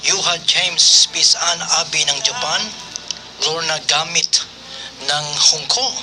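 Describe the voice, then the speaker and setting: A man with a Filipino accent hosting a radio program, greeting listeners and reading out names in a deliberate way. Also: on the high side, steady tone. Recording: music playing behind radio voice. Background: music.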